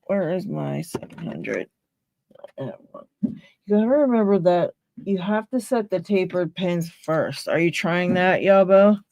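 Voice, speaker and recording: A woman's voice: on the low side, darkish tone, no room sound. A woman with a Pittsburgh accent, hosting a casual live stream and chatting with viewers while she reads names from chat and laughs often. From Pennsylvania, USA. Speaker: livestream host.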